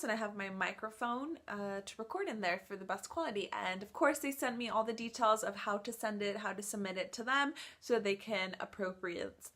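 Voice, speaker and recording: A woman's voice: mid-range voice, fairly bright tone, dry recording. Young woman vlogging casually, native Spanish speaker. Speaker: vlogger and voice actor. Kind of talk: casual vlog chat.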